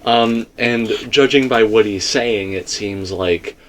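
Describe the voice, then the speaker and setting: A man with a slightly low voice leads a casual discussion of theories. He talks in a rambling but emphatic way, like a host laying out a case. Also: takes it slowly, a bit bright.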